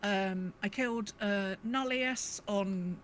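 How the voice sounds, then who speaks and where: A woman's voice: medium pitch, slow pace, fairly bright tone. Woman chatting casually, faint background noise. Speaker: podcast co-host. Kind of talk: casual gaming chat.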